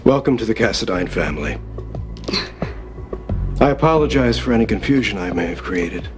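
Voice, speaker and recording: An actor playing a controlling, wealthy middle-aged uncle with a formal, measured, coolly menacing manner in a television soap. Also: male voice, on the low side, expressive, close to an everyday voice. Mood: smoothly polite. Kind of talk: television soap opera acting. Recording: TV drama audio under loud music.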